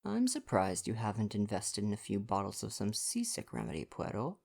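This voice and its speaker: A man voicing an earnest, politely argumentative gentleman sidekick in a story reading. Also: on the low side, wildly swooping pitch, normal speed, creaky, heavily acted. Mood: teasing. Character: Poirot's male sidekick, earnest, mildly argumentative English gentleman.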